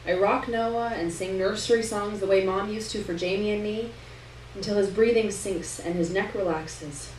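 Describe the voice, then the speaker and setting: A woman's voice: medium pitch, fairly even intonation, moderate pace, darkish tone. A woman reading a literary story aloud at a live event indoors. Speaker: story reader. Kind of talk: literary narration read aloud to an audience. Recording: roomy distant live recording, noticeable hiss.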